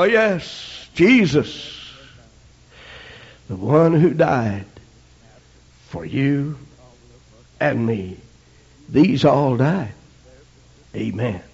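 High-pitched, dramatically swinging American male preacher. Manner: emphatic, halting phrases punctuated with amens and praise. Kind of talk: fervent Pentecostal sermon, swinging from shouts to hushed asides. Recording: dull, lo-fi archival sermon tape.

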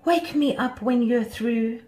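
A woman's voice: on the low side, big pitch swings, moderate pace, darkish tone, reverberant. A woman voicing a vain, bossy young female butterfly in a children's story, haughty, scornful and theatrical. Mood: scornful, drawling.

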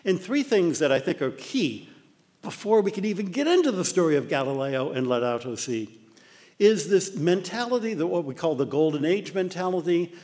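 An older man with an American accent giving an animated illustrated lecture, often putting on mock voices. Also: fairly high pitch. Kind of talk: illustrated talk. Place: dark lecture hall with projector screen. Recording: long hall reverb.

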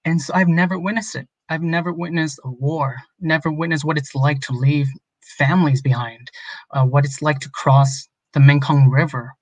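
A man's voice: on the high side, fairly even intonation, normal speed, no echo, rough recording. A man in his thirties from Minnesota, an illustrator, speaking earnestly and openly on a video call. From Minnesota, USA. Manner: earnest, open, personal. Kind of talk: conversational guest talk. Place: home room.

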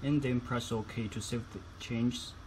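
A man's voice: medium pitch, steady tone, measured pace, darkish tone, slight background noise. A man giving instructional tutorial narration as a product demonstrator, phrasing each step carefully.

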